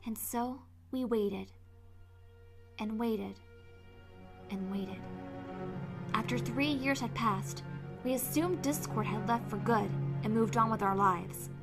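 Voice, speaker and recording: A woman's voice: on the high side, slow, long pauses. A woman playing an earnest, anxious young female pony student in an audio drama, with a questioning manner. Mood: subdued. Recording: music behind the voice.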